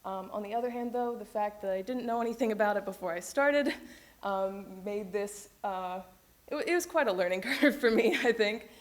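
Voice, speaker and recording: A woman's voice: mid-range voice, moderate pace. A young woman student presenting her research to a panel audience, hesitant, with many ums. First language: English. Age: young adult. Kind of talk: academic presentation.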